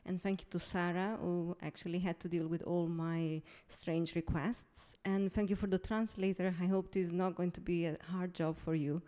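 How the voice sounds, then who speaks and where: Italian-accented woman presenter, friendly and quick, low mellow voice. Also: fairly even intonation. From Italy. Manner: friendly, quick, addresses the audience. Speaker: curator presenting. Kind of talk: conference presentation. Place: theatre hall.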